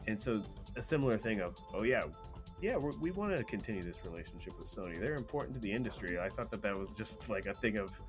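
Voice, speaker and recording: Low-voiced man chatting casually, music behind. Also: expressive, quick pace. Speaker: gaming news podcast co-host. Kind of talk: casual chat commentary on gaming news.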